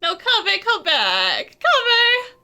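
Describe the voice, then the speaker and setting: A bright, teasing young traveler. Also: female voice, very high voice, wildly swooping pitch, very slow, exaggerated voice. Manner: teasing, giggly. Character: playful teasing young traveler, easily amused. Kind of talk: video game character voice-over.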